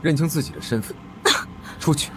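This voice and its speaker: A man voicing an aloof, dismissive young crown prince in an animated drama. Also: on the high side, brisk, slight background noise, natural voice. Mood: haughty. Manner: haughty, dismissive.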